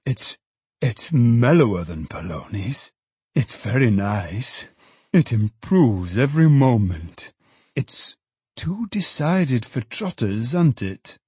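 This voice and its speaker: A man's voice: mid-range voice, sing-song, takes it slowly, mellow, breathy edge, no room sound, exaggerated voice. A man voicing a poor, good-humoured old father in a storybook, musing and exclaiming in a folksy way. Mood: musing, savouring.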